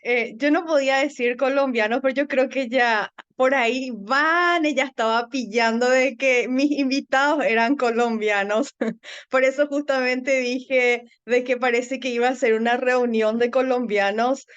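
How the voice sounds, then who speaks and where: A woman's voice: sits high, a little slow, highs cut off. A woman hosting a casual talk show, chatty and laughing easily as she welcomes her guests. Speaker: show host.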